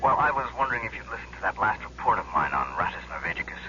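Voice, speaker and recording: A man's voice: on the low side, very animated, rapid-fire, music in the background. An actor playing an earnest male scientist in a 1970s radio drama. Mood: eager. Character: earnest male scientist reporting on rat research. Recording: dull, noisy old radio recording.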